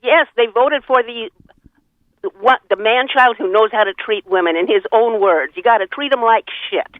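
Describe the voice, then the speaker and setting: A woman's voice: fairly high pitch, normal speed, dry recording. A woman calling a radio show by telephone, chatting in a rambling, earthy, opinionated way. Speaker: caller to a radio talk show. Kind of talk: casual opinionated chat. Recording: tinny, band-limited phone line.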